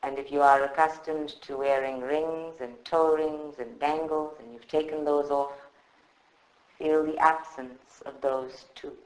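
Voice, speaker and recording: A man guides a meditation in a fairly high voice. He speaks very slowly and soothingly, leaving long pauses between short phrases. The live recording is roomy and clearly echoing. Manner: calm, soothing, measured instruction with long pauses. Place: indoor room at a group meditation session.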